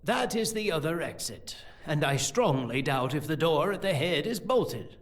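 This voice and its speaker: Scheming priest with swooping, lifted voice. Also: male voice, on the high side, very animated. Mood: cool, calculating. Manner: theatrical, measured, condescending. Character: scheming, sardonic male court priest, coolly menacing. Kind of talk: audio drama acting.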